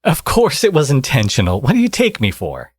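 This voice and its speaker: A man playing a smug, teasing supervillain and doting father in an intimate ASMR audio roleplay, with sardonic, drawling threats and a husky voice. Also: medium pitch, huge pitch swings, a little fast, no echo, clean studio sound.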